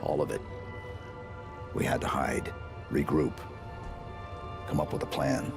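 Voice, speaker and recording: An actor playing a grim, stoic super-soldier in a video game, speaking in terse, clipped statements with a gravelly voice. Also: male voice, a bass voice, very animated, a little slow, over music, full character voice. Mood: somber. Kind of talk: dramatic video game dialogue.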